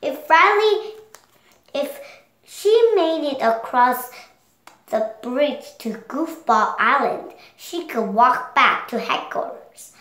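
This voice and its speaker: Child narrator, girl of seven, high voice, slow careful storybook reading, roomy sound. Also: animated, a bit bright. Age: child, 7 years old. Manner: careful, halting reading aloud. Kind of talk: read-along storybook narration.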